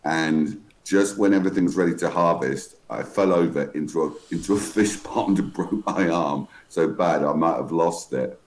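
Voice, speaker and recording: Gruff man, fifties, extremely deep voice, loose rambling storyteller on a group call. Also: normal speed, hardly any pauses. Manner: rambling, self-interrupting storyteller. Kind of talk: casual group chat. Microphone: online call.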